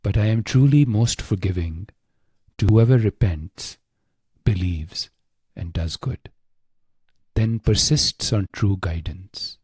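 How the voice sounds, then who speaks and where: A man guiding a scripted meditation in a hushed, solemn, deliberate way, pausing often, close to the microphone. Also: lowish voice, husky, no echo. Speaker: meditation guide. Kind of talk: slow scripted guided meditation.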